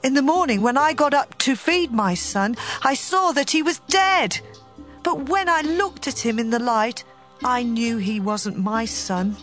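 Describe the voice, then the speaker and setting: Anguished young mother for scripture audio drama: high, slow, pleading delivery over music. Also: fairly bright tone. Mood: distressed, earnest. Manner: emotional, pleading.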